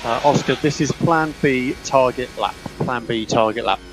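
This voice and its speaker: A man's voice: mid-range voice, normal speed, low-quality recording. A man working as a race engineer, giving clipped instructions over team radio to a driver and repeating key calls. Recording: team radio with music behind.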